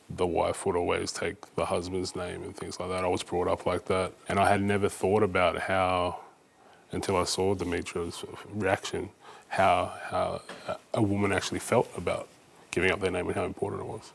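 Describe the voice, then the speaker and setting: A man speaking as a studio guest, reflective and halting. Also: low voice, little pitch movement, gravelly. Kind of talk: studio discussion.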